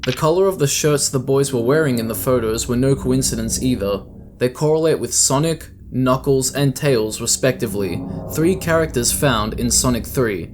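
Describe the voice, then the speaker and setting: A man narrates a scripted video essay in an explanatory way. His voice sits at an ordinary male pitch. There is clearly audible ambient sound behind him as he speaks. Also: moderate pace, background noise.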